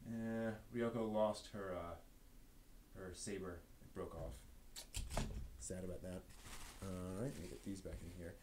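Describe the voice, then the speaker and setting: A man's voice: deep voice, steady tone, measured pace, pauses often. A man hosting a live stream, chatting casually and off the cuff in a rambling way that trails off. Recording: noisy room background.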